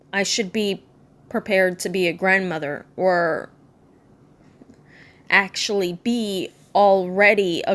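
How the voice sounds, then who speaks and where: Vlogger, woman, mid-thirties, slow and hesitant, soft-spoken, lots of pauses and fillers. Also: mid-range voice. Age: 30s. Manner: slow, halting, subdued and candid, many ums and restarts. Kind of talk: personal vlog talking to camera.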